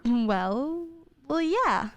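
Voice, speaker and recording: Woman playing a clear-voiced, brisk career woman. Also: high-pitched, very animated, dry recording, exaggerated voice. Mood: persuasive. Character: brisk, businesslike professional woman, store employee. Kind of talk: stage-style radio play acting.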